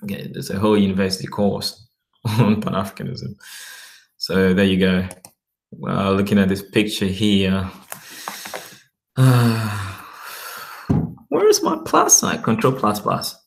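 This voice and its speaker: Man, lowish voice, casual vlog talk, rambling, reads aloud haltingly. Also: very animated, creaky. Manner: rambling, repeats okay, reads web text aloud slowly. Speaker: vlogger.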